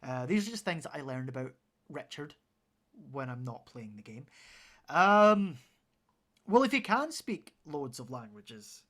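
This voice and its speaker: An animated game streamer with a mid-pitched voice that swings widely. The commentary is sarcastic, mocking and full of swearing, reacting to the game as it plays. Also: measured pace. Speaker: streamer playing a video game.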